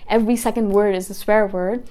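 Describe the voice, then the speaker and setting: A woman's voice: medium pitch, fairly even intonation, fairly quick, mellow. A woman in her thirties, a native Hindi speaker whose accent blends British, Canadian and Indian sounds, chatting casually to camera in a rambling way, often restarting and correcting herself. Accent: mixed British, Canadian and Indian. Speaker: YouTuber. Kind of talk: casual talking-to-camera vlog.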